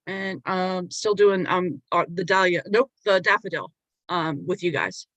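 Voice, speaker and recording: A woman's voice: on the low side, measured pace, fairly bright tone, no room sound. A woman introducing herself on a video call, hesitant and correcting herself with many fillers. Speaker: sew-along participant. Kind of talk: casual self-introduction. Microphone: video call mic.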